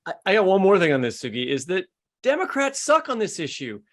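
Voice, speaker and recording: A man's voice: sits high, huge pitch swings, quick pace, fairly bright tone. An American man hosting a podcast, talking in a conversational, rambling, emphatic way as he works through his questions. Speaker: podcast host.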